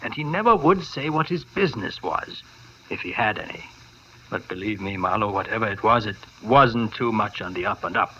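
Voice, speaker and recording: Older doc, worried n pleading, lowish sharp voice, muffled old radio. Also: male voice, lively intonation, moderate pace. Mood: uneasy. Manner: hesitant, pleading. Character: worried, earnest older male doctor. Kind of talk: 1950s radio drama acting. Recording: muffled, noisy vintage radio broadcast.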